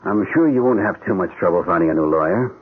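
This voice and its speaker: Deep, stern, cold lawyer. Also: male voice, lively intonation, fast talker, slightly rough. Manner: curt, formal. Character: stern, coldly offended male lawyer. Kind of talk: radio drama acting. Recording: muffled, band-limited radio drama recording.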